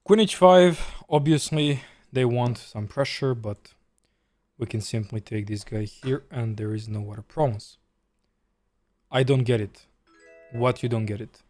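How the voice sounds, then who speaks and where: A man's voice: mid-range voice, measured pace, darkish tone. A man from Serbia with a Serbian accent, a chess streamer and coach chatting casually, answering viewers' questions as he plays. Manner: chatty, reads chat aloud and answers while playing. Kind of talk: casual live-stream commentary.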